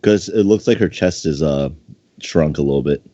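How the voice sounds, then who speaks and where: A man's voice: very deep voice, moderate pace, warm tone. A man chatting casually and commenting as a co-host and contest judge. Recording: dull lo-fi voice chat audio.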